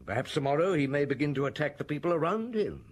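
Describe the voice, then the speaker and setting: An actor playing a weary, authoritative police chief who questions people in a measured, probing way. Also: male voice, on the high side, big pitch swings. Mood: calm. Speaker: detective chief inspector. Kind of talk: classic radio drama acting.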